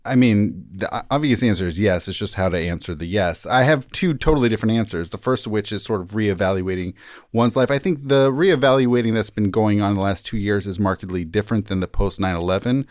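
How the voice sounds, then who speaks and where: A man with a fairly low voice answers as a podcast guest in a thoughtful, explanatory way. He qualifies his points as he goes, and the sound is dry and close. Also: darkish tone, no echo. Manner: measured, hedging, explanatory. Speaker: rabbi and senior living chaplain, podcast guest. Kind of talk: thoughtful conversational interview answers.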